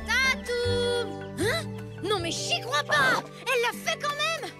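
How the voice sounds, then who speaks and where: Earnest, very high-pitched cartoon boy. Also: slow pace, heavily acted. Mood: worried. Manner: indignant, outspoken, expressive. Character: earnest, impulsive young cave boy, loyal dinosaur's friend. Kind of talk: French animated series voice acting. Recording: music under the dialogue.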